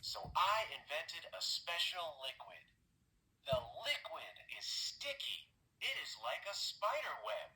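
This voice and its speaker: A man reading a children's picture book aloud in the first person, with expressive, animated delivery. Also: fairly high pitch, takes it slowly, low-quality recording. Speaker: storybook reader.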